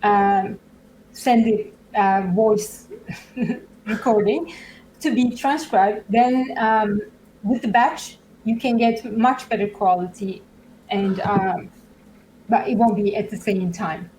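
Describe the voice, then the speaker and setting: A woman whose first language is Turkish, speaking as a guest in a casual tech demo. She talks haltingly, with plenty of fillers and little laughs. Faint steady noise sits under the voice. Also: mid-range voice, low-quality recording. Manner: hesitant, many fillers, laughs often. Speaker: guest developer advocate.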